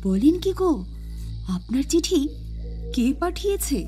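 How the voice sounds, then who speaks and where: Woman as an excitable, hurried village postman. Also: extremely high pitch, soft background music, pitched above the performer's own voice, heavily acted. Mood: alarmed, curious.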